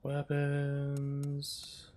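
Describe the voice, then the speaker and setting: A man's voice: on the high side, monotone, very slow, darkish tone, a little hiss or hum. A man streaming a video game, giving casual, opinionated and emphatic commentary as he plays. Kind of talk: casual live-stream commentary while playing.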